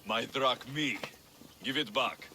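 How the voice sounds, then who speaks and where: A man's voice: mid-range voice, takes it slowly, pushed and bright, slight background noise, heavily acted. A man playing a wry, direct, protective ancient Greek mercenary in a video game. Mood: firm, kind. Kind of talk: video game character acting.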